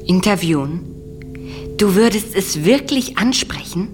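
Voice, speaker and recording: A woman's voice: mid-range voice, animated, a little slow, a little mellow, faint music behind, close to an everyday voice. A woman playing an anxious teenage boy, a nervous detective sidekick full of worried questions, in an audio drama. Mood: nervous, doubtful.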